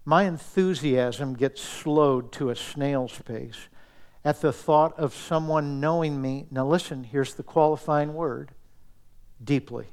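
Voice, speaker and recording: A man preaching a sermon in an earnest, intimate way, dropping to soft, near-whispered asides. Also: on the high side, slow, smooth. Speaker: pastor.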